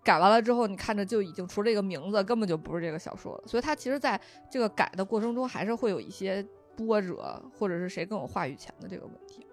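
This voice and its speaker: A woman's voice: mid-range voice, expressive, quick pace, few pauses. A woman giving her opinions in a casual discussion. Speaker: discussion show participant. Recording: background music under the voice.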